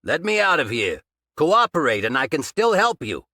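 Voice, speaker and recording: A man voicing a trapped male detective who calls out in urgent, demanding shouts. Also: medium pitch, sing-song, unhurried, slightly rough, dry recording, studio quality.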